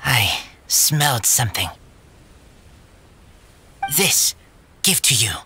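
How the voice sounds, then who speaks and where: A man voicing a blunt, loyal wolf-raised teenage boy in an anime video game, speaking in halting broken phrases. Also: medium pitch, very animated, sharp tone, a little hiss or hum, heavily acted. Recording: faint background music.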